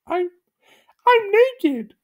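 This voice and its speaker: A woman voicing a small, frightened clay boy in a high, squeaky put-on voice. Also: sing-song, drawn-out words, darkish tone, heavily acted.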